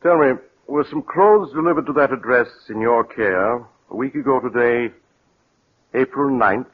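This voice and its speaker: An actor playing a shrewd, relentless police doctor in a 1940s radio drama, questioning with deliberate, probing pauses. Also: male voice, mid-range voice, lightly acted. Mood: pointed questioning. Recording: dull old radio broadcast.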